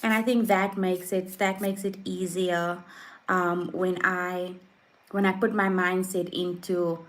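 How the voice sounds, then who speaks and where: A South African woman in her thirties, a lifestyle vlogger chatting casually on a livestream, soft-spoken with frequent ums and restarts. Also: lowish voice, little pitch movement, slow pace. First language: Afrikaans.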